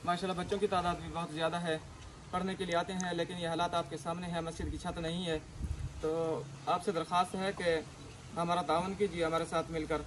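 A man with a fairly high, monotone voice answers as a local interviewee. He asks for help plainly and repeats himself, recorded in the field with distinct background noise. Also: a little fast. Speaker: local worshipper interviewed for the appeal. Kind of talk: unscripted spoken request for help. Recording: noisy field recording with vehicle sounds.

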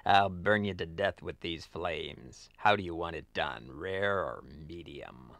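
A slow gloating fire villain. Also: male voice, sits low, gravelly, a bit bright, no room sound, full character voice. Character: gloating male villain, flamethrower boss.

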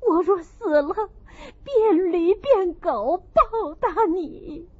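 A distraught, ailing young maidservant played by a woman narrator speaks in a high voice with big swings of pitch. She sounds emotional and grateful, as in a storybook reading. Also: animated, very slow, narrow, tinny audio, full character voice. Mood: pleading, grateful.

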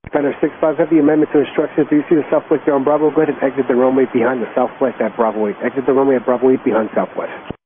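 A man's voice: mid-range voice, steady tone, racing. A man working as an airport ground controller, giving clipped, procedural instructions over air traffic radio and repeating them. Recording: tinny noisy radio transmission.

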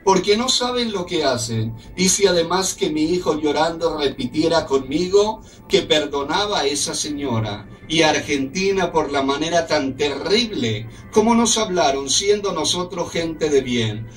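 A man's voice: on the high side, expressive, moderate pace, few pauses, recorded from a distance. A middle-aged man from Colombia hosting a radio talk show, reading a letter aloud in an earnest, indignant tone from a small office studio. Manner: earnest, indignant, speaks as a compatriot. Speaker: radio host.

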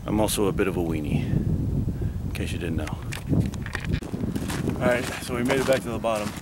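A man's voice: on the low side, animated, normal speed. A young man chatting casually and jokily to a handheld camera on an outdoor hiking trail, a little out of breath. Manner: chatty, jokey, self-mocking. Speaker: vlogger. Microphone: handheld camera mic. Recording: outdoor camera audio with clear background noise.

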